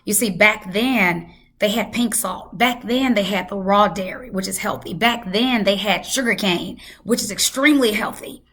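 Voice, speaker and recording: Hoarse woman talking to camera. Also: medium pitch, moderate pace, a bit bright. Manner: emphatic, repeats for effect. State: hoarse, losing her voice. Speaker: health channel host. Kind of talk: casual talk to camera.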